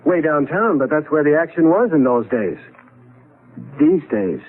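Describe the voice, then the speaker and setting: An actor in a 1970s radio drama playing an agitated, urgent husband stranded in the past, prone to stammering. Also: male voice, on the high side, big pitch swings, unhurried. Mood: puzzled, excited. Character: agitated husband displaced into his great-grandfather's era. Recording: narrow-band tinny vintage radio recording.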